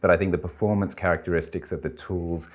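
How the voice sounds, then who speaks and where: An Australian man, a technology head, explaining ideas in a measured, thoughtful way during a conference interview. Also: deep voice, steady tone.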